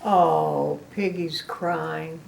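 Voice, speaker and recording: An older woman reading a picture book aloud to children, expressive, with chatty asides to the listeners. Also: low voice, drawn-out words, gravelly, a little hiss or hum, low-quality recording. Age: older, a grandmother.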